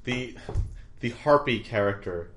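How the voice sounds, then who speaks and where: A man's voice: medium pitch, lively intonation, unhurried, a bit bright. Man explaining trivia, matter-of-fact. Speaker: podcast co-host. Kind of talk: casual chat.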